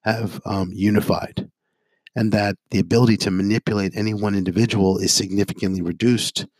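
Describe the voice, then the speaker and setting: A hoarse man, a psychotherapist and addiction interventionist, giving a halting talk to viewers full of ums and uhs and strings of listed phrases. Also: on the low side, fairly even intonation, darkish tone, no room sound. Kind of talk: informational monologue to viewers.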